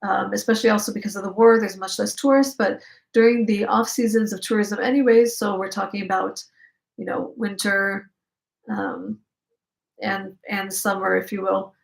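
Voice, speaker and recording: Israeli woman chatting live, gravelly voice. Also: mid-range voice, steady tone, normal speed. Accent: Israeli Hebrew. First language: Hebrew. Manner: chatty, reads out viewer comments, many fillers. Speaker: livestream host answering viewer questions. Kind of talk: casual live chat.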